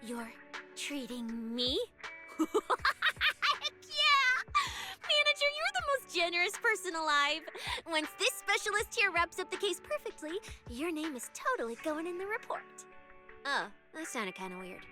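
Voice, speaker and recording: Bubbly young female police specialist for a game: very high, lively pitch, playful, expressive. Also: a little slow, exaggerated voice. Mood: excited, playful. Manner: playful, expressive, mock-official. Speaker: video game voice actor. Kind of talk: animated game cutscene dialogue. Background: background music.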